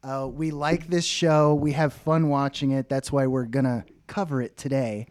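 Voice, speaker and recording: A young man co-hosting a comedy podcast, joking and laughing often, with a slightly raspy voice. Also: mid-range voice, fairly even intonation, normal speed, a little mellow. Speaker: podcast co-host. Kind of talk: casual comedic podcast banter.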